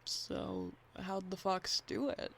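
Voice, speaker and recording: A woman playing a restless, irritable software engineer in an audio drama, talking in a dry, pedantic, bickering way. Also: medium pitch, fairly even intonation, takes it slowly, creaky, slight background noise, full character voice. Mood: skeptical.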